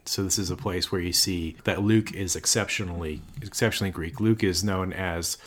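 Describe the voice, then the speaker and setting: A man with a very low voice talks through scripture in an easy podcast chat. He explains at length and tosses in dry jokes as he goes. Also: fairly even intonation, normal speed, fairly bright tone. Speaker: podcast co-host. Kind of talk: casual chat on faith and scripture.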